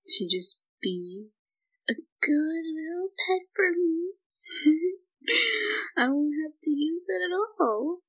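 A young woman playing a shy, obsessive yandere student kidnapper in an intimate audio roleplay, breathy and halting, clingy yet menacing. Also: very high-pitched, big pitch swings, fairly bright tone, full character voice, rough recording. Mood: coaxing.

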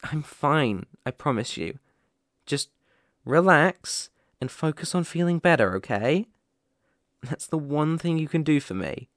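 A man's voice: on the high side, expressive, unhurried, no room sound. A young man playing a caring, playful boyfriend looking after his sick partner in an intimate, soothing ASMR roleplay, close to the microphone. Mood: teasing, affectionate. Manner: gentle, soothing, affectionate, chuckling often. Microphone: close microphone, dry.

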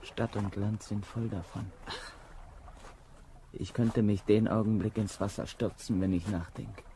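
A man's voice: lowish voice, steady tone, measured pace, mellow, a little hiss or hum. A man in a German radio drama playing a brooding, despairing young fiancé.

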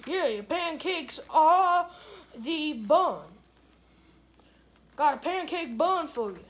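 High-voiced slow-talking woman puppeteer. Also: animated. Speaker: puppeteer voicing plush toys. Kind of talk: improvised toy comedy skit. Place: living room couch.

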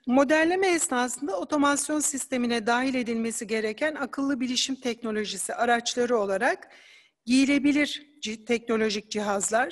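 A woman giving an academic conference presentation, explaining slides in a measured way with occasional hesitant fillers. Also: a little high, big-room sound.

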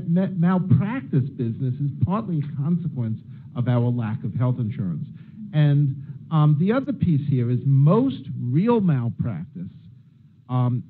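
Middle-aged male panelist, halting and full of ums, slightly high voice, thin phone-like sound. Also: slightly rough. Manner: halting, with many fillers and restarts. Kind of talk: panel discussion remarks. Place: conference room with a panel table. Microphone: handheld mic.

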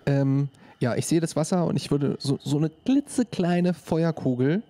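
A man's voice: on the high side, normal speed, dark tone, dry recording. A man playing an online tabletop game, describing his moves casually and tentatively, step by step. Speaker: player.

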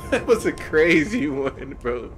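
A man's voice: fairly high pitch, sing-song, a little slow, over music. A young man hosting a reaction video in casual, slangy, easily amazed chat, recorded in a small room. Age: young adult. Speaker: host of a reaction channel.

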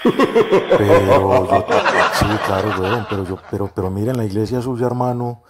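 A man's voice: fairly low pitch, animated, moderate pace, over loud music. A Colombian man with a Medellín paisa accent, chatting as a prank caller in coarse, jocular slang full of swearing. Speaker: prank caller, show host. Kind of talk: casual slangy phone prank chat.

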